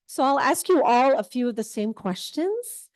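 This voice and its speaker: A woman's voice: high voice, animated, moderate pace, dry recording. Chatty woman hosting a panel. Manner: warm, encouraging, chatty with guests. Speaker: event host. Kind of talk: moderating a panel discussion. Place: event hall with audience. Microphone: handheld microphone.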